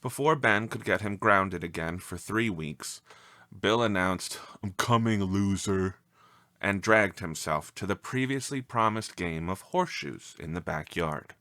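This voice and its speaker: A man reading a scripted story as narrator, with an expressive, measured and dramatic delivery. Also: low-pitched, no echo, studio quality.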